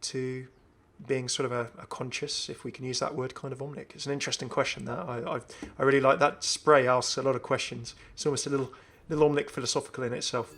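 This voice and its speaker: A man's voice: medium pitch, little pitch movement, fast, hardly any pauses, a bit bright. A man giving casual, off-the-cuff video game commentary as a host, chatty and often musing aloud. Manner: chatty, musing aloud, asks himself questions.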